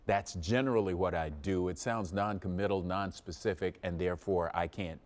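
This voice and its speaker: A man's voice: low voice, moderate pace. A man hosting a local morning TV show, chatting and joking with his co-hosts and reading lists aloud. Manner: chatty, lively banter, reads lists. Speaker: TV show host. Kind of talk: morning TV chat.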